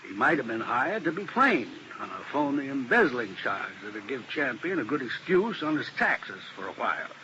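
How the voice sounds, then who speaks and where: A man's voice: mid-range voice, very animated, moderate pace, hardly any pauses, bright, forceful tone. A man playing an anxious, defensive, unsuccessful lawyer in an old radio drama, earnest and pleading. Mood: bitter, pleading. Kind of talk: 1950s radio drama acting. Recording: muffled, hissy old radio recording.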